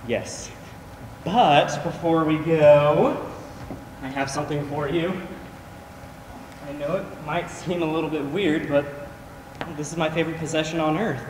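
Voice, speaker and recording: A man's voice: on the high side, steady tone, unhurried, pauses often, natural voice. A young man on stage playing a flamboyant, witty, ailing drag queen with campy, projected delivery. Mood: tender. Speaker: stage actor in a school play. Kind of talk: theatrical stage acting. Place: large theatre stage, indoors. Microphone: far camera. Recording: echoey theatre, distant microphone, clear noise.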